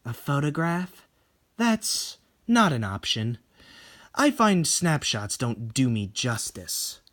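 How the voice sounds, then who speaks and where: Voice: medium pitch, big pitch swings, takes it slowly, a bit bright. A performer voicing a snobby, beautiful young woman in a horror story, haughty and theatrical, drawing out words. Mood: smug, coy.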